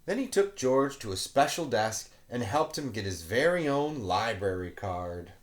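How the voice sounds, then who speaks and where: Unhurried, lively man reading to children. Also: mid-range voice. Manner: animated, playful asides to young listeners. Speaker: children's story reader, teacher. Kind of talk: expressive children's picture book read-aloud.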